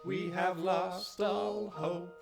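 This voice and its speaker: A man as a slow-singing, defeated monster hunter. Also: high voice, level tone, gravelly, full character voice. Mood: despairing. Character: weary, defeated monster hunter lamenting failure in song. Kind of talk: musical theatre singing. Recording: loud music behind.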